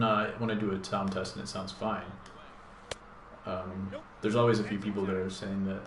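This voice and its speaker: A man with a deep voice hosting a gaming channel, thinking aloud in a laid-back, rambling way with plenty of fillers while he plays. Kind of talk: casual live gameplay commentary. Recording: echoey room with background noise.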